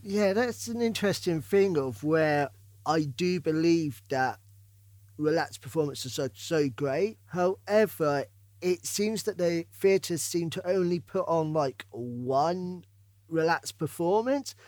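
A man's voice: on the high side, animated, takes it slowly, darkish tone, no echo. A man hosting a casual podcast interview, informal and ready with his own opinions.

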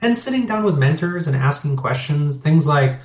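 A man's voice: a little high, expressive, moderate pace, darkish tone. Middle-aged guy chatting in an interview, laid back and rambly, echoey room. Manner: candid, reflective, rambling with fillers. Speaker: interview guest, business owner. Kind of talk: casual interview talk. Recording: roomy echo.

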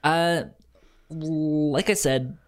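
A man's voice: on the high side, steady tone, extremely slow. A man co-hosting a podcast in casual chat, stammering and often repeating words. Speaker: podcast co-host.

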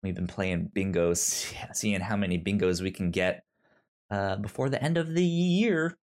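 A man's voice: low-pitched, moderate pace. A man co-hosting a movie podcast, talking in a hesitant way, trailing off and restarting his sentences. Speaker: podcast co-host. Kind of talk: casual movie review chat.

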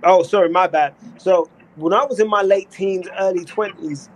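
A man's voice: sits high, moderate pace, a little hiss or hum, low-quality recording. A man in his forties talking casually and earnestly in a remote conversation, rambling with restarts and slang. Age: 40s.

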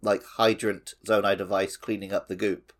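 A man's voice: fairly low pitch, flat delivery, moderate pace. A man co-hosting a gaming podcast, chatting casually in a loose, conversational way. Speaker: podcast co-host.

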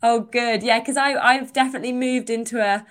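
A woman's voice: fairly high pitch, fairly even intonation, brisk. A young woman hosting a podcast, introducing her guest in an upbeat, enthusiastic way and chatting casually. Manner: upbeat and enthusiastic, warm interviewer. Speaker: podcast host.

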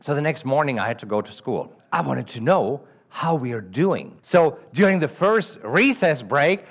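A man from Germany with a German accent, giving a storytelling talk at a live event, animated and asking the audience questions. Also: medium pitch, normal speed. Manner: animated, asks the audience questions, acts out the dialogue. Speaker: trading educator.

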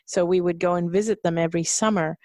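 A woman from Hong Kong, a guest on an interview show, telling her story hesitantly with frequent pauses. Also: fairly low pitch, steady tone, a little mellow, no echo. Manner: hesitant, with many fillers and restarts.

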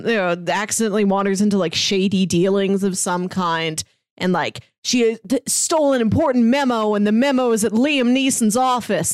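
A woman's voice: mid-range voice, darkish tone, no room sound. A woman in her thirties chatting casually as a podcast co-host, with a wry, rambling way of explaining things. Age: 30s. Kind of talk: casual pop culture chat.

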